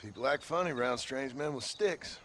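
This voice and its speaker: An actor playing a laconic, courteous gunslinger in a western game, with a wry, philosophical manner. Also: male voice, medium pitch, lively intonation, normal speed, sharp tone, a little hiss or hum. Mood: wry, friendly. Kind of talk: dramatic video game acting.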